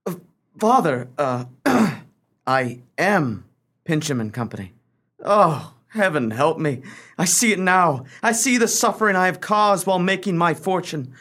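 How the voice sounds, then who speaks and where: A high-pitched, declamatory prodigal son, played by a man. He is earnest and overwrought, in the style of a hammy radio melodrama. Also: lively intonation, unhurried, fairly bright tone, heavily acted. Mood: remorseful.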